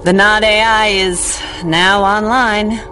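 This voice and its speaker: A woman in her thirties playing an earnest, forceful scientist in a video game cutscene, serious and insistent. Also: mid-range voice, fairly even intonation, slow pace, background noise, natural voice. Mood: serious, focused.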